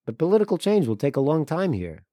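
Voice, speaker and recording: A man performs a world-weary Crimean local for an audiobook. He is cynical and guarded, pressing his points bluntly in a bright, forward voice with a dry sound. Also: on the high side, sing-song, fast, dry recording, voice pushed up. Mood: weary frank. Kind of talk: audiobook character dialogue.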